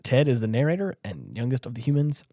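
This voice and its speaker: A man's voice: mid-range voice, very animated, fast, mellow, no room sound. Male podcast host. Conversational and earnest, leans hard on repeated phrases, dry recording. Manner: emphatic, earnest, repeats himself for stress. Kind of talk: casual discussion of a story.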